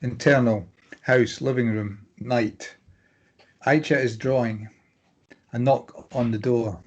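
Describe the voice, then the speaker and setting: A man's voice: mid-range voice, fairly even intonation, slow. A man narrating scripted stage directions at a drama table read.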